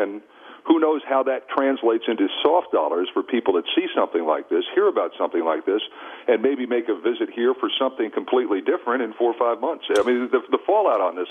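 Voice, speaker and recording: A man's voice: lowish voice, breathy edge, narrow, tinny audio. A man talking conversationally on a sports radio talk show, repeating phrases to drive his points home. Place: radio studio. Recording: thin, band-limited radio broadcast.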